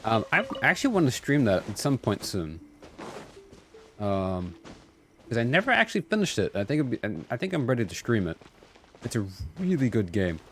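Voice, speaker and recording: A man's voice: medium pitch, wildly swooping pitch, moderate pace, a bit bright, a little hiss or hum. A man giving casual live stream commentary as he plays a game and chats. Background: game music.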